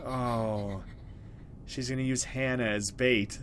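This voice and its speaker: A man's voice: mid-range voice, fairly even intonation, slow, fairly bright tone, slight background noise. A man hosting a let's play, reading a horror visual novel aloud with casual commentary.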